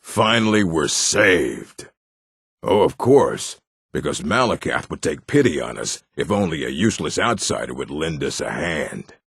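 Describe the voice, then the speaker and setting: Very deep, gravelly orc. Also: male voice, very animated, a little slow, fairly bright tone, dry recording, studio quality, full character voice. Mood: contemptuous. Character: gruff male orc, scornful, scholarly and menacing. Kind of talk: fantasy video game character acting.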